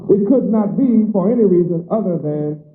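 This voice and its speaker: Male preacher, emphatic repetitive cadence, fairly high voice, thin phone-like old recording with roomy echo. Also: lively intonation, moderate pace, a little hiss or hum. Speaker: religious teacher. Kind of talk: sermon. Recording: echoey lo-fi phone-like archive recording.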